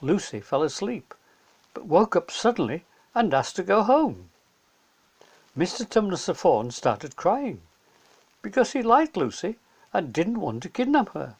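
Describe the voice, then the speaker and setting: A man's voice: high voice, sing-song, a little slow, gravelly, breathy edge. A man narrating a scripted children's story as a storyteller.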